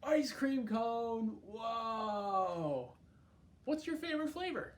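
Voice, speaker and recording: Man, children's storytime host, playful read-aloud, very lively pitch, expressive, addresses kids directly, faint background noise. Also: takes it slowly. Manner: playful, exaggerated, expressive delivery; addresses young listeners directly with questions and prompts. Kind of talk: animated read-aloud of a picture book for children. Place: library.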